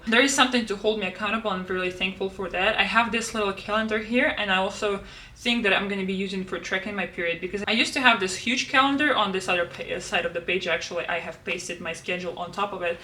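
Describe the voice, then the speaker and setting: A young woman talks through her plans in a loose, chatty way, circling back with "like" and "you know." Her voice is bright and sharp, picked up from a little distance. Faint background noise sits under it. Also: mid-range voice. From Russia. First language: Russian. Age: 20s. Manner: chatty, many fillers and restarts. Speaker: vlogger. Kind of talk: casual planner walkthrough. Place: home room.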